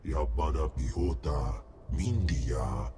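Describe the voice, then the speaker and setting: An actor playing a huge male alien Hutt crime boss, speaking an invented alien language in a booming guttural, self-important way for a video game. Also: lively intonation, slow pace, dark tone, a little hiss or hum, exaggerated voice. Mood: ponderous.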